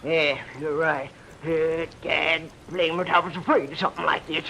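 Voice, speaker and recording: An actor playing a crotchety, excitable old American night watchman in a 1940s radio drama, fussy and folksy. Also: a little high, moderate pace, vocal fry, muffled recording. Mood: jittery, fussy. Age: older. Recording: noisy old radio recording.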